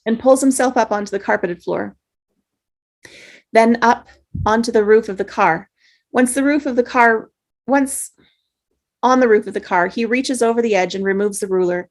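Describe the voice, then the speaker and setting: Woman narrating script stage directions, medium pitch. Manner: stumbles and rereads phrases.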